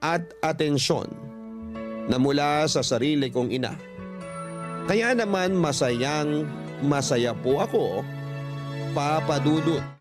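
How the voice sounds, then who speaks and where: A man's voice: a little high, slow, pauses often. Earnest male radio storyteller over music. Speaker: radio host and story narrator. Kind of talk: dramatic first-person letter reading. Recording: music bed behind the voice.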